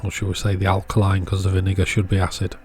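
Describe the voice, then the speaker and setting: A man's voice: low-pitched, monotone, fast talker, darkish tone. A man giving casual, hands-on repair narration as a video host, in a matter-of-fact, understated way.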